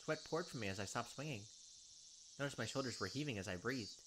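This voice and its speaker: A man's voice: medium pitch, lively intonation, fast, background noise. A man reading a visual novel's text aloud in a let's play, adding joking asides.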